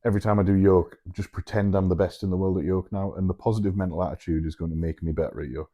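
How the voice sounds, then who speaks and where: A man co-hosting a casual podcast, full of swearing and jokes. His voice sits low with a warm, dark tone. He talks easily, bantering with his mate. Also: steady tone, fast talker. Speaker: podcast co-host.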